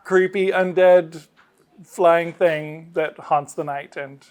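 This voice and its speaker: A man's voice: fairly high pitch, fairly even intonation, slow, darkish tone, clear, resonant tone. A middle-aged man explaining his game design work casually and with enthusiasm in an interview.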